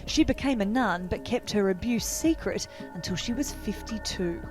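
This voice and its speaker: Woman reporter over loud music. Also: medium pitch, normal speed. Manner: steady, even reportage. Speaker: field reporter. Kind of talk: scripted news report narration.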